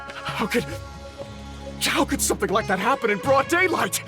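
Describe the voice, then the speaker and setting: An actor playing a frightened merchant who stammers in disbelief in a game scene. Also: male voice, extremely high pitch, animated, moderate pace, music in the background. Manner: trembling, disbelieving. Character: frightened male merchant, jittery. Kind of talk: game cutscene dialogue.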